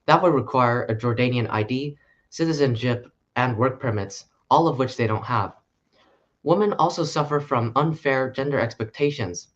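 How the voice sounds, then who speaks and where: A man presenting a short conference talk in an earnest, steady way. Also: mid-range voice, moderate pace, muffled recording. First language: English.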